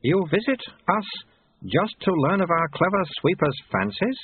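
A man voicing a monastery abbot, solemn and questioning, with babyish squeaky outbursts, in an audiobook. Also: very animated, normal speed, breathy edge, no room sound, phone-quality sound, exaggerated voice. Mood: imperious. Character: reincarnated abbot, grave old leader with babyish squeaky outbursts.

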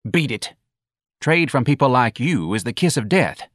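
Man playing a brash female bar boss, pitched up. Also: very animated, moderate pace, fairly bright tone, no echo, studio quality. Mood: scornful. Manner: curt, coarse, scornful. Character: brash, hard-bitten, bossy woman bar owner.

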